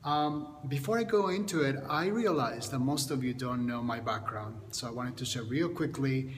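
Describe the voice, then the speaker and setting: A man's voice: mid-range voice, moderate pace. Hesitant man in a reverberant room. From Colombia. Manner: hesitant, restarts and repeats words. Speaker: makeup artist. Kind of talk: makeup tutorial to camera. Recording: long reverb, faint background hiss.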